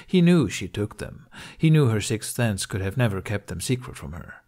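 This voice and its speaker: A man's voice: lowish voice, very animated, normal speed, a little mellow. A man reading scripted fiction as an audiobook narrator.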